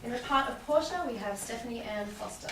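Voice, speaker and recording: A woman's voice: a little high, animated, fast. A woman narrating a staged reading, formally announcing the cast and stage directions from a script. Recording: echoey room, distant mic, clear noise.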